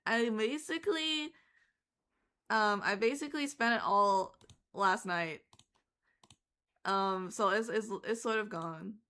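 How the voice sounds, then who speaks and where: A woman streamer chatting casually while she draws, her voice hoarse and worn out, rambling with lots of fillers and restarts. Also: on the high side, animated, slow, long pauses, sharp tone.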